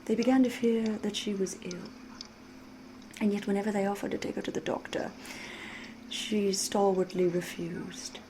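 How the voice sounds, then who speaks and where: Woman playing a soft-spoken, mysterious vampire storyteller, ambient noise. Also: mid-range voice, natural voice. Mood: hushed, ominous. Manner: measured, theatrical, knowing, old-fashioned formal diction. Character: mysterious flirtatious female vampire, worldly storyteller with veiled menace. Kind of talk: ASMR roleplay storytelling. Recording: steady ambient noise behind the voice.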